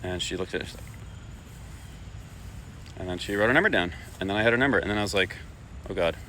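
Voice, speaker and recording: A man's voice: fairly low pitch, quick pace, bright, forceful tone, slight background noise. A man casually telling a personal anecdote, rambling and self-deprecating, acting out dialogue. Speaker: storyteller.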